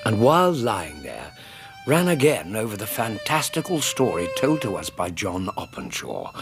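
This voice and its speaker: An earnest, loyal Victorian gentleman with a low British voice. His literary radio-play delivery moves widely in pitch, and violin music is clearly heard behind it. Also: very animated, moderate pace. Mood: melancholy. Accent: British English. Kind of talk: BBC radio drama acting and narration.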